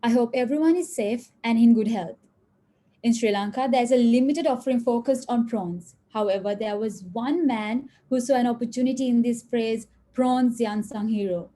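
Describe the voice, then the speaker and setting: Warm-toned woman hosting a webinar. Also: on the high side, a little hiss or hum. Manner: formal, measured. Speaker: webinar host. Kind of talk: scripted introduction and interview questions. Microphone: video call.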